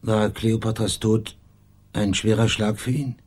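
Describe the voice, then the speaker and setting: A man's voice: lowish voice, flat delivery, a little slow, a little mellow, breathy edge. A man playing a persistent investigator in a radio crime drama, asking polite, matter-of-fact questions. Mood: quietly insistent. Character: probing, persistent male investigator on a case.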